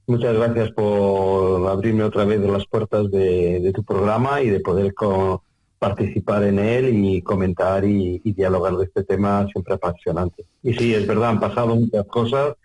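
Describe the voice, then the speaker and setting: A man's voice: on the low side, steady tone, moderate pace, darkish tone, poor audio. An older man from Spain answering as an interview guest, reflective and conversational, wordy and often rephrasing as he goes. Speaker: interview guest, UFO contactee researcher.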